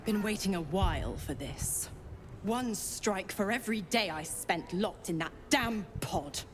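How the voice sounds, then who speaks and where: A woman's voice: medium pitch, expressive, measured pace, big-room sound, a little hiss or hum, lightly acted. A woman voicing a fierce, vengeful fighter in a fantasy video game. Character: vengeful, fierce woman warrior eager to strike. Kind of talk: video game character acting.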